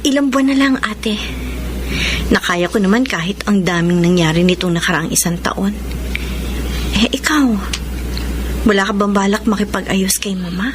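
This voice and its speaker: A woman's voice: mid-range voice, moderate pace, long pauses, background noise, lightly acted. A young woman acting a mild, conciliatory younger sister in a radio drama. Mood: gentle, reflective.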